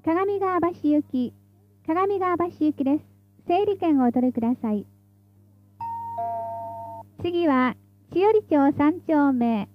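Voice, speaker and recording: A woman's voice: sits high, no room sound, muffled recording. A woman reading scripted tram announcements in a formal, polite, carefully enunciated way, as the stop announcer.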